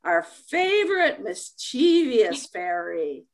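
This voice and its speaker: A woman hosting a children's storytime over a video call, reacting with slow enthusiasm. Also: medium pitch, big pitch swings. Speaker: show host. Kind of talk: casual chat.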